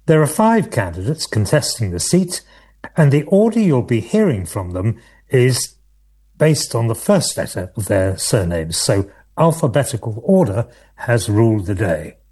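A man hosting a local radio politics show, presenting candidates in a measured way with occasional hesitations. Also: mid-range voice, sing-song, a little mellow, breathy edge.

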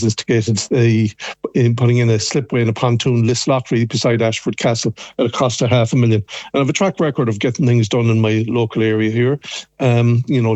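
An Irish man speaks on a phone line in a flat, even voice. He halts often with ums and restarts as he talks. The sound is dull and a bit muffled. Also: mid-range voice, no room sound. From Ireland. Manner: halting, with many ums and restarts. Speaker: councillor guest on the phone. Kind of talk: phone interview.

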